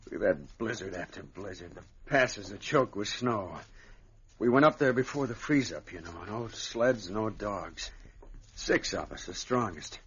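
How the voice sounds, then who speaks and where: An actor playing an exhausted young snowblind prospector in an old-time radio drama, earnest and halting. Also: male voice, fairly low pitch, lively intonation, a bit bright. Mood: anxious, urgent. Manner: weary, halting, hesitant speech. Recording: muffled, noisy vintage radio recording.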